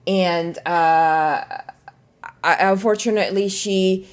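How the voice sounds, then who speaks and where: A woman's voice: mid-range voice, steady tone, takes it slowly, clear, resonant tone. A middle-aged woman from El Salvador, a marketing leader, answering podcast interview questions in an earnest, reflective way with frequent fillers.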